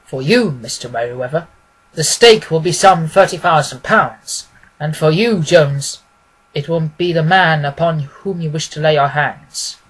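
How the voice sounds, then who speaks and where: A man's voice: fairly high pitch, a little slow, fairly bright tone, breathy edge, low-quality recording. A man voicing a clever, commanding detective in a storybook, confident, severe and precise. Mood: brisk, assured.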